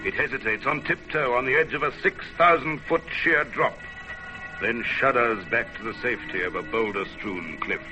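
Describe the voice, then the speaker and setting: A man's voice: medium pitch, normal speed, music in the background, natural voice. A man playing a dramatic storyteller, narrating an old-time radio adventure in a theatrical, incantatory way. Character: dramatic male storyteller of a perilous mountain climb.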